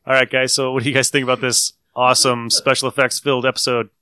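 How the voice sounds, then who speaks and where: A man's voice: medium pitch, flat delivery, fast, bright, forceful tone, soft background music. A man hosting a podcast, chatting casually with friends. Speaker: podcast host. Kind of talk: casual group chat.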